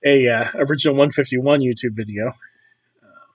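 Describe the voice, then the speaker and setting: A man losing his voice, chatting casually and rambling while playing a video game, often clearing his throat. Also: medium pitch, moderate pace. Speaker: gaming YouTuber. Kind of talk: casual commentary over gameplay.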